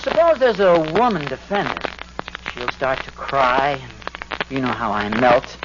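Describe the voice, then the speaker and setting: A man's voice: medium pitch, very animated, measured pace. An American man acting a self-important, evasive suburban husband in an old-time radio sitcom, pompous and blustering, on a noisy, muffled recording. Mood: flustered, evasive. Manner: pompous, blustering, stammering when cornered.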